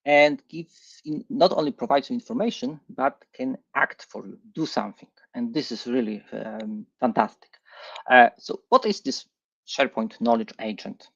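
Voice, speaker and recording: A middle-aged man with a Polish accent gives a live tech presentation, speaking haltingly and repeating words. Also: medium pitch, vocal fry, highs cut off. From Poland. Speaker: guest presenter. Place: online livestream session.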